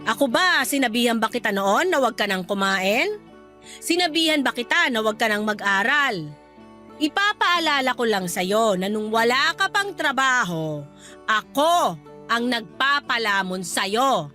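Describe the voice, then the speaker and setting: A woman's voice: a little high, sing-song, a little slow, sharp tone, faint music behind, heavily acted. A woman playing a bitter, domineering mother in a Filipino radio drama, scornful and accusing as she nags her daughter. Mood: scornful, reproachful.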